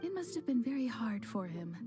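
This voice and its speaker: A woman voicing a kindly, soft-spoken young mother who talks politely and reassuringly, over game music. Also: on the high side, big pitch swings, a little fast. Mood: gentle. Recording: game audio with music behind.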